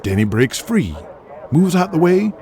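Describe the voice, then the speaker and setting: A man voicing a persuasive, excitable wrestling booker with a drawl in an audio drama. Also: a little high, a little slow, a little hiss or hum, pitched above the performer's own voice. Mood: urgent, persuading. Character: folksy Southern male wrestling booker, excitable, persuasive schemer. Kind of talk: audio drama character acting.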